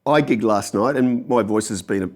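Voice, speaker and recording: A man hosting a podcast, his voice a little croaky after a gig, guiding the guest with friendly questions. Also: fairly low pitch, moderate pace. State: slightly croaky voice. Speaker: podcast host and gigging musician. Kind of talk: casual interview.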